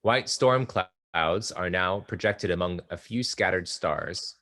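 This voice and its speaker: A man reading stage directions aloud at a play table read, plain and steady. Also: sits low, slow.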